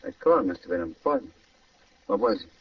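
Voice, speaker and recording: A man's voice: fairly low pitch, lively intonation, fairly quick, slight background noise, natural voice. A man acting a jealous, hot-tempered gangster in an old radio crime drama. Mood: smug, suggestive. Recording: muffled old radio recording, distant and roomy.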